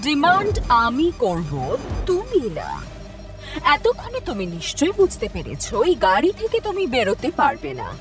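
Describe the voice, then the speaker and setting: A high, gravelly cartoon villain, taunting a captive with mock friendliness. The delivery swings widely, drawing out each threat over a music bed. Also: female voice, heavily acted. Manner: mock-friendly, menacing, drawn-out teasing delivery. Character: taunting car-hacking villain making cold threats. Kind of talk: animated crime drama acting.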